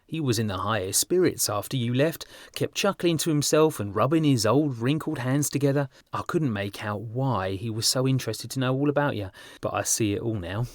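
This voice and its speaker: A man voicing an amused, worldly gentleman painter in an audiobook, with a breezy, emphatic, teasing manner. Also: medium pitch, brisk, hardly any pauses, no room sound, clean studio sound, close to an everyday voice. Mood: laughing, teasing. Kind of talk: audiobook character dialogue.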